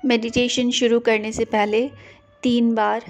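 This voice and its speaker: A woman leading a guided prayer meditation, speaking gently and repeating short phrases for the listener to echo. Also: a little high, little pitch movement, faint music behind. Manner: slow, gentle, repetitive phrasing.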